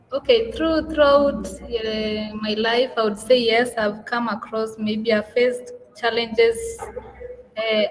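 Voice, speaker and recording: A woman's voice: a little high, big-room sound, slight background noise, low-quality recording. A young woman with a Kenyan English accent, a youth participant answering questions hesitantly, often searching for words. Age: young adult.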